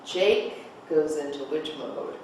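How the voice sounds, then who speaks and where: A man's voice: a little high, expressive, slow, darkish tone. Guy running a script rehearsal, asks questions, repeats himself, super echoey room w lots of noise. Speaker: director leading a script table read. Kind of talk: casual rehearsal discussion and instruction. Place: echoey indoor room at a group table read. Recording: very echoey, distant mic, noisy room.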